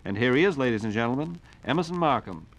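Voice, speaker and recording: A man's voice: medium pitch, expressive, fast talker. An American man hosting a scripted 1940s radio program, speaking in a formal, polished, inquiring way as announcer and interviewer. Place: radio studio.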